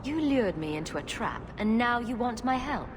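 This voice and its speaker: A woman's voice: fairly high pitch, lively intonation, normal speed, noisy background, close to an everyday voice. An actress playing a female hero in a game, speaking directly and plainly. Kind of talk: video game dialogue. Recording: game music underneath. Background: game score.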